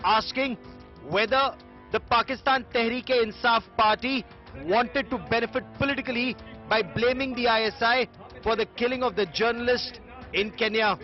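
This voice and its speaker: Very high-pitched Indian news reporter, male. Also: steady tone, moderate pace. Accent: Indian English. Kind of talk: scripted news voice-over. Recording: dull audio over music bed. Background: background music.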